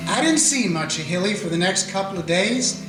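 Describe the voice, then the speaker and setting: An actor playing a steady small-town lawman who narrates and speaks in plain, folksy talk in a radio play. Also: high-pitched, normal speed. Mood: calm. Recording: roomy echo with music.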